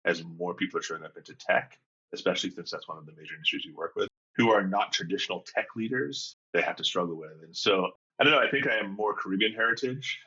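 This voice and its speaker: A man's voice: deep voice, fast, bright, forceful tone, slightly rough, muffled recording. A man in his fifties, a leadership coach from England and Jamaica, telling his story in a thoughtful, open way with frequent restarts. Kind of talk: reflective conversational storytelling.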